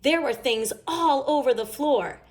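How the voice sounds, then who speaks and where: A woman reading a children's story aloud in an expressive, emphatic storytelling manner. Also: high-pitched, a little slow. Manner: animated, emphatic. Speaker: storybook reader.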